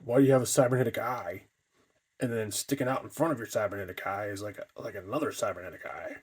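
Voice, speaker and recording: A man voicing a curious, teasing cyborg undead creature in an improvised toy skit, with halting restarts. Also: on the low side, fairly even intonation, slightly rough. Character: cyborg undead male mascot, curious and needling.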